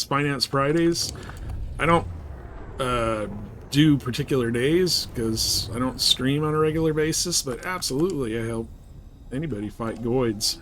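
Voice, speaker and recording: A man's voice: mid-range voice, a bit bright, a little hiss or hum. A man live streaming a space game, giving casual commentary and thinking aloud to himself and his viewers.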